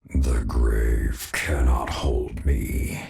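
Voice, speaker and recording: Brief: a grim game wraith, extremely deep gravelly growl, very slow and menacing. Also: male voice, steady tone, warm tone, heavily acted. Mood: bitter. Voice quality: deep gravelly rasp, half-whispered. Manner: slow, sneering, mocking. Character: vengeful wraith-like male mercenary, grim and menacing. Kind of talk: video game voice lines.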